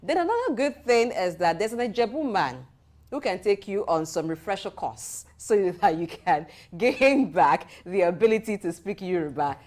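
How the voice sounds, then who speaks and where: A woman's voice: medium pitch, sing-song, normal speed, a little mellow. A woman, hosting a business TV interview in a polite, formal manner. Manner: polite, formal sign-offs. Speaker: TV host.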